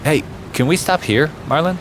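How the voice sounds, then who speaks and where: A man's voice: on the high side, animated, moderate pace, noisy background, natural voice. A man playing a helpful, observant lookout in an audio drama. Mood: alert.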